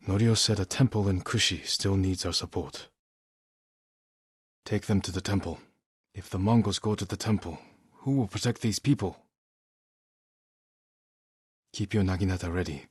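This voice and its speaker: An actor playing a stoic, honorable samurai warrior in a video game, weary and grave, speaking in a measured, restrained and protective manner. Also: male voice, low-pitched, slightly rough, dry recording, studio quality. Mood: grave, resolute. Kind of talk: dramatic video game voice acting.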